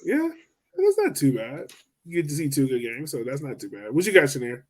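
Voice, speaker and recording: A man's voice: mid-range voice, huge pitch swings, fairly quick. A man hosting a casual sports podcast in a joking, informal, slangy way. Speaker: podcast host.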